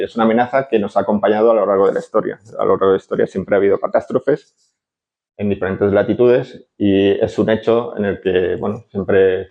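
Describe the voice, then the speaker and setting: A man from Barcelona giving a conference lecture, hesitant, full of fillers and tag questions. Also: lowish voice. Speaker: architect giving a conference talk. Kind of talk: lecture to an audience. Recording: dull lo-fi conference audio.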